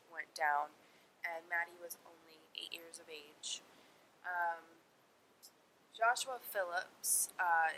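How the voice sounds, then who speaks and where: Young adult female true crime host, casual and informal, slightly low pitch, congested nasal sound from a cold, poor noisy mic. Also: sharp tone. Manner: informal, sweary, talks to viewers as friends. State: sick with a cold, sniffling, dry sore throat. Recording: poor mic with clear noise and rumble.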